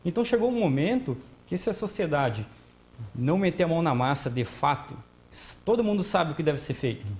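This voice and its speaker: A man speaking as a guest on a TV panel debate, indignant and forceful in his emphasis. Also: fairly high pitch, sing-song, a little fast.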